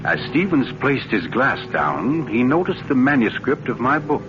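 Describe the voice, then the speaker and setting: An actor playing an author who narrates a suspense tale in a measured, ominous, dramatic 1940s radio style. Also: male voice, medium pitch, very animated, over music, natural voice. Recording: dull old radio broadcast recording.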